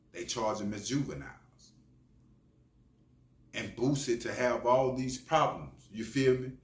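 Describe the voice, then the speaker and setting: A man giving opinionated, preachy street-slang commentary as a channel host, speaking emphatically. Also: mid-range voice. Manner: preachy, emphatic, often tagging phrases with slang address. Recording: echoey room sound.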